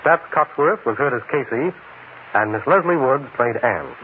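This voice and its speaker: An actor playing a sly, ghoulish mystery-show host, announcing in 1940s radio style. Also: male voice, medium pitch, lively intonation, normal speed, lightly acted. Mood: sly, creepy. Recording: tinny, hissy old radio broadcast.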